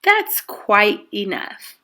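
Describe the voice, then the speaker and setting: A woman's voice: medium pitch, very animated, extremely slow, bright, forceful tone, full character voice. A woman voicing a prim, firm mama bear in a storybook, speaking emphatically. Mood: scolding.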